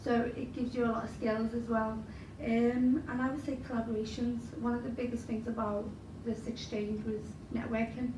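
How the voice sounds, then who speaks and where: A woman's voice: on the high side, little pitch movement, normal speed. Scouse woman, roomy and distant. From Liverpool, England. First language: Arabic. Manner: chatty, frequent fillers and you-knows. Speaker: interviewee, spoken word poet. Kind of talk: casual interview answers. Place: large event hall. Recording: roomy hall recorded from a distance with noise.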